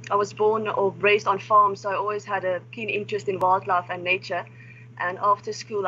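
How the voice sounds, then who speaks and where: A woman's voice: medium pitch, pushed and bright. A young woman from South Africa, a wildlife research assistant, giving a friendly, informal online seminar talk. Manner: friendly, informal, with some restarts. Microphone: video call. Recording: slightly dull online call audio with faint noise.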